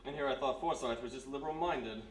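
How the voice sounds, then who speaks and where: A man's voice: mid-range voice, quick pace, recorded from a distance, slight background noise, natural voice. A man playing a proper, pompous military major in a live old-style radio mystery. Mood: indignant. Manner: pompous exclamations. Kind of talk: 1930s-style radio play acting. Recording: echoey live stage, off-mic.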